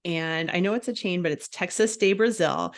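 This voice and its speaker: A woman's voice: lowish voice, normal speed. Bubbly woman podcast host. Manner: bubbly, laughs often, eager questions. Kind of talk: casual interview chat.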